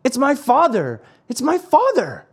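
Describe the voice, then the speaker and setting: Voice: medium pitch, wildly swooping pitch, moderate pace, a little mellow, breathy edge, voice pushed up. A narrator voicing a little wooden marionette boy in a storybook, plaintive and dramatically exclaiming. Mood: alarmed.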